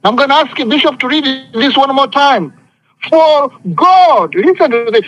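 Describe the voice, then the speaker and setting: A man's voice: very high voice, lively intonation, a little slow, a bit bright, highs cut off. A man preaching an impassioned sermon, speaking emphatically with repetition and often calling for responses from listeners. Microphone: phone conference call.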